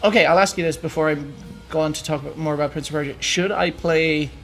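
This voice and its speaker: A man's voice: fairly high pitch, steady tone, quick pace, a bit bright, soft background music. A man co-hosting a casual podcast, chiming in with short replies and laughing easily. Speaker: podcast co-host. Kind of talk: casual chat.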